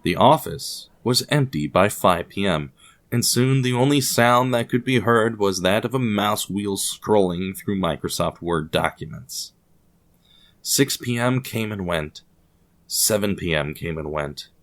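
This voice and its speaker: Low-voiced man narrating a wry, ominous story. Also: expressive, a little slow, fairly bright tone. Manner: wry, ominous, addresses the listener formally. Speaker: narrator of a fiction podcast.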